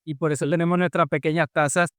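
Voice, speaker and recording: Cheerful Venezuelan co-host, smooth voice. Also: male voice, on the high side, little pitch movement, fast talker, fairly bright tone, no echo, studio quality. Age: adult, married. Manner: cheerful, affectionate, enthusiastic. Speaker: podcast co-host.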